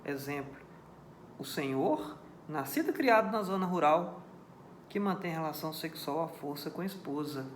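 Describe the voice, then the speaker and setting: A high-pitched Brazilian man teaching a law class in a didactic way, repeating his key points and stopping often to ask whether listeners understand. His voice carries the echo of a reverberant room with faint background ambience. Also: lively intonation, moderate pace, slight background noise. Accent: Brazilian Portuguese. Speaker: law lecturer. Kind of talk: recorded lecture on criminal law.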